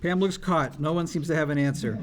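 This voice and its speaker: A man's voice: fairly high pitch, fairly quick, slight background noise. A man narrating scripted stage directions at a live table read.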